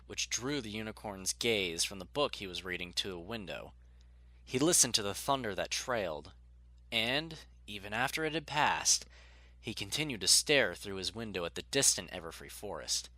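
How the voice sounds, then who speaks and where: A man gives a dramatic, scripted audiobook narration. His voice is fairly low, with a bright, forceful edge. The sound is dry, with no room to it. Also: no echo.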